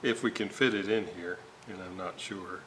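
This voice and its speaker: A man giving an informal repair walkthrough at a workbench, halting and thinking aloud as he works. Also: low voice, fairly even intonation, a bit bright, poor audio. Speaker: host of a radio restoration video series. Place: indoor workbench, hands working on components. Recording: noticeable background noise.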